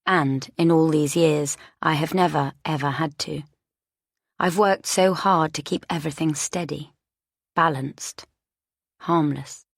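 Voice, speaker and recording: A woman's voice: sits low, fairly even intonation, unhurried, creaky, no room sound, clean studio sound. A woman narrating a first-person thriller audiobook from a script.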